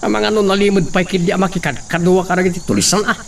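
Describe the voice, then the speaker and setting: A man's voice: high-pitched, fast, gravelly, slight background noise. An actor playing a scheming male servant who resents his master, talking in a sly, muttering way.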